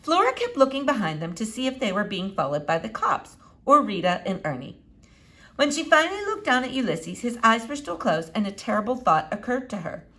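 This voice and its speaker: A woman reading a children's novel aloud in an expressive, animated way with dramatic pauses. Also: a little high. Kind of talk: expressive storybook read-aloud.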